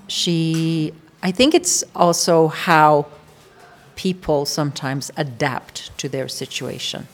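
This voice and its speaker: A woman's voice: low voice, slow pace. A woman from Kenya, a theatre actor, talking thoughtfully about her role. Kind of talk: interview.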